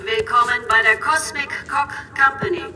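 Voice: medium pitch, moderate pace, sharp tone, soft background music. A performer voicing a polite automated factory announcement machine in an audio drama. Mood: neutral.

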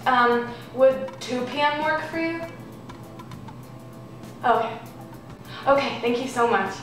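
A woman's voice: on the high side, takes it slowly. A teenage girl student setting up and asking interview questions, hesitant, with many ums. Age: teens. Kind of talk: polite phone call and interview questions. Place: indoor room. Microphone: camera across the room. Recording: echoey room, faint music.

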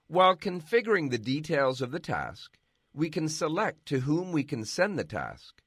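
A man giving scripted, measured narration for a software tutorial. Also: a little high, very animated, husky, dry recording, studio quality. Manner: measured, instructional.

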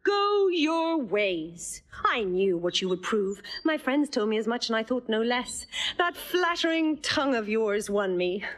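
Woman doing a witty lovestruck young woman, playful and teasing, bit high voice. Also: very animated. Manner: playful, quick-witted, teasing. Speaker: actor playing Rosalind. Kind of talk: stage drama performance.

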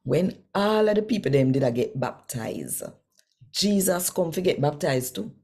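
An older woman with a Jamaican accent reading scripture in Patois in a measured, deliberate way. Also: low-pitched, very animated, a little mellow, husky. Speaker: Bible reader and presenter. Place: small home room, indoors.

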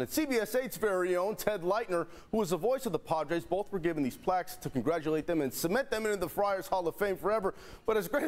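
A male sports anchor reads a scripted news piece from a TV studio. His voice sits high for a man and he moves through the copy at a quick clip.

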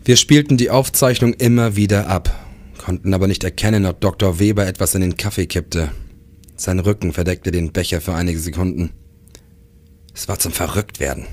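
An actor playing a troubled police detective who narrates in a matter-of-fact, brooding way in a crime audio drama. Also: male voice, sits low, moderate pace.

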